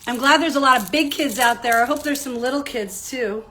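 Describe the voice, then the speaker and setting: A woman's voice: high-pitched, fairly quick, fairly bright tone. A woman hosting a children's story hour, chatty and self-deprecating, her voice a little groggy from lack of sleep. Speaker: children's entertainer. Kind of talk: live-streamed story hour chat.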